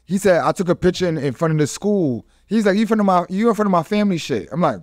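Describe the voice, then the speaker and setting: A man's voice: high voice, animated, fast, a little mellow, no echo. A man hosting a casual podcast, slangy and appreciative, close on a studio mic. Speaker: podcast host. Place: small studio with foam panels.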